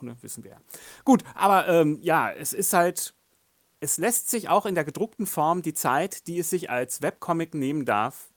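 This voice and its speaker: Lively, joking man on a podcast. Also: on the high side, creaky. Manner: lively, joking, searches for words. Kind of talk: casual podcast discussion.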